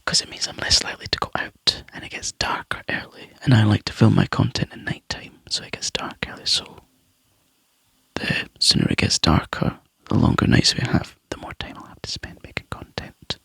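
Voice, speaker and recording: Scottish man, ASMR whisperer, chatty and rambling, lowish breathy voice, dry close sound. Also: moderate pace, bright, forceful tone, no room sound. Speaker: ASMR YouTuber.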